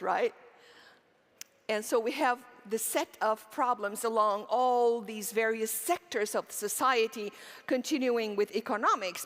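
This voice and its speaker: A woman's voice: a little high, a bit bright. A middle-aged woman from Romania giving an earnest conference keynote, posing rhetorical questions to the audience and answering them herself. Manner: earnest, with frequent fillers and restarts. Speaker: keynote speaker. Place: conference stage in a large hall. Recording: long hall reverb on stage.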